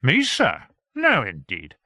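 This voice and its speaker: A man's voice: medium pitch, huge pitch swings, takes it slowly, bright, forceful tone, dry recording, studio quality, full character voice. A man voicing a prim male servant who speaks stiffly and with mild scorn, in a storybook reading.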